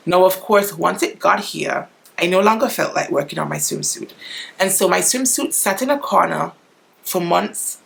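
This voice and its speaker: A woman's voice: fairly low pitch, expressive, normal speed, vocal fry, a bit bright, slightly rough. A woman talking casually through her sewing project in a chatty, self-deprecating vlog. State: stuffy and congested. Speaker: sewing vlogger.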